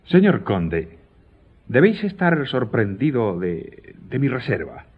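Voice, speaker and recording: A calculating banker baron in a radio play, guarded and formal. His husky voice swings widely in pitch, and the recording is somewhat dull. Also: sing-song, takes it slowly, heavily acted. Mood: guarded evasiveness. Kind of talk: Spanish radio drama acting. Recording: dull lo-fi audio.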